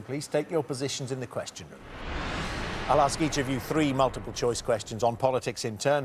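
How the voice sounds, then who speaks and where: Guy hosting a quiz show, chatty with lots of uhs, mid pitch. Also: a little hiss or hum. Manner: chatty, jokey, with frequent fillers.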